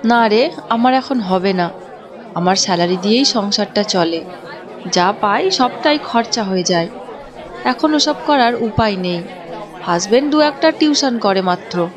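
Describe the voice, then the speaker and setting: A woman's voice: medium pitch, lively intonation, unhurried, a crowd in the background, lightly acted. A woman voicing a modest, resigned young working wife in a cartoon. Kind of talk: Bengali cartoon voice acting.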